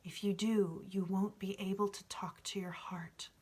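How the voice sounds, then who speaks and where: A wise male alchemist from a storybook, read by a woman. He is calm and a little cheeky. His delivery is hushed and measured, like a mentor gently instructing. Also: mid-range voice, husky. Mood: gentle, reassuring.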